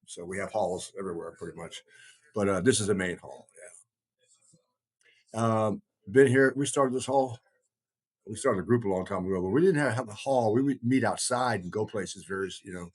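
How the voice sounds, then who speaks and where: A man co-hosting a podcast, chatting casually in a rambling, halting way, often circling back on his words. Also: on the low side, slightly rough. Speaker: podcast co-host, heathen group leader.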